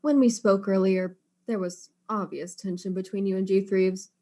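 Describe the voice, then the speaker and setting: A woman's voice: mid-range voice, fairly even intonation, dark tone, natural voice. Formal, probing woman detective acted. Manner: formal, measured interrogation. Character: probing, suspicious female detective, formal and persistent. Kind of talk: murder-mystery play dialogue.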